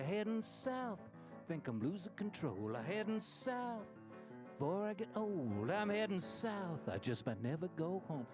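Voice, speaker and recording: A woman singing folk and blues songs live on a coffee house stage, with soulful, storytelling vocals. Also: mid-range voice. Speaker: folk singer. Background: acoustic guitar.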